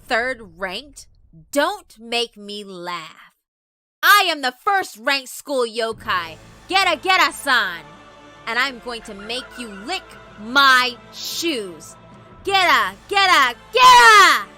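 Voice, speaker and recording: Loud, bright, cackling tyrant demon girl. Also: high voice, big pitch swings, slow pace, voice pushed up, heavily acted. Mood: gloating, menacing. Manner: boastful, taunting, shouting with a chanted cackle. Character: short-tempered, gloating tyrant yokai girl from a mirror world. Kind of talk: dramatic visual novel voice acting. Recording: music behind the voice.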